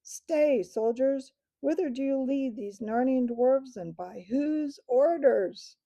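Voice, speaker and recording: A woman voicing a commanding young king from a storybook, stately and formal, with a very dark, mellow voice and a gravelly edge that rumbles under his orders. He speaks in a dry, close sound. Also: measured pace, dry recording. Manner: stately, old-fashioned speech.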